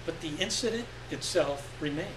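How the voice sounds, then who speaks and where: A man giving an expressive, deliberate dramatic reading of literary fiction on stage. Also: a little high. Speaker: story reader. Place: live stage reading event. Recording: echoey room, distant mic, faint noise.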